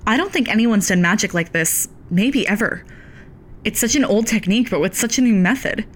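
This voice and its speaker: Woman acting skeptical magic advisor, mid-pitched, lively intonation, measured, audio drama. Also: a little hiss or hum, close to an everyday voice. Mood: thoughtful, skeptical.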